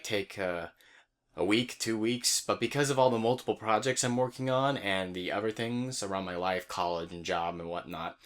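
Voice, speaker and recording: A man's voice: fairly low pitch, fairly bright tone. A young adult man, the creator of an online series, explains his process step by step in an informal, casual update. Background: background music.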